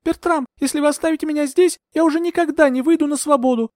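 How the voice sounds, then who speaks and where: A man's voice: fast, a little mellow, dry recording, clean studio sound. A man putting on a frantic young heroine. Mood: pleading. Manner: high, desperate pleading. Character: young female heroine, frightened, defiant.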